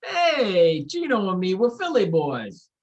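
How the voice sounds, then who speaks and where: A brash, chatty guy with a Philadelphia accent, as voiced by a performer. His pitch bounces around as he talks in a loose, street-tough way. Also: wildly swooping pitch, slow, muffled recording, full character voice. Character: brash middle-aged man from Philly.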